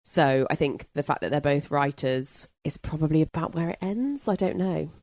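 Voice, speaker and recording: A woman with a low-pitched voice chats casually as a podcast guest. She is enthusiastic and informal, and the sound is close and dry. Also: moderate pace, darkish tone, no echo. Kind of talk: casual book chat.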